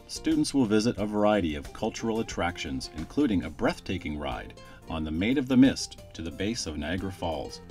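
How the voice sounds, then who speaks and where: A man reading a scripted promotional voice-over in a smooth, even delivery. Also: fairly low pitch. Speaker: promo narrator.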